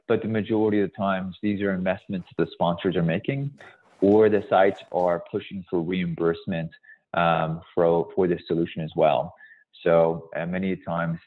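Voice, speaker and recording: Man, forties, very deep pitch, measured emphatic presenter, narrow telephone-like call sound. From born in Hungary, moved to the US as a child. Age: 40s. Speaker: company founder, guest presenter. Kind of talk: webinar presentation and question answering. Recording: thin, phone-like call audio.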